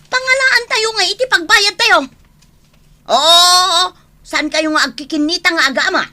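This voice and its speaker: A nagging, hard-up mother in an Ilocano radio drama. Her voice is extremely high and sharply bright. She scolds her household with theatrical exasperation. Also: normal speed, heavily acted. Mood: scolding, shouting. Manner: scolding, dramatic, loudly calling out. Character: exasperated, nagging mother and wife, short of money.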